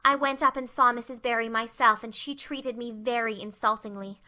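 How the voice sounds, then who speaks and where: A woman voicing an excitable, dramatic young orphan girl who talks in big words, in a storybook reading. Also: on the high side, moderate pace. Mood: indignant.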